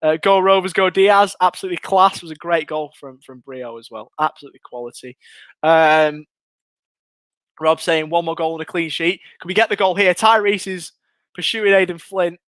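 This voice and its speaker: Excitable young English man, high voice. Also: normal speed, dry recording. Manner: excitable, chatty, reads out viewer comments. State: losing his voice. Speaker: fan watchalong host and commentator. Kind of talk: live watchalong commentary and chat.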